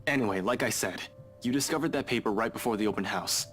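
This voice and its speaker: A man acting as a stubborn young man in a horror visual novel. His lowish voice carries guilt and frustration, with music playing behind him. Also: fast, a bit bright, lightly acted. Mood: frustrated.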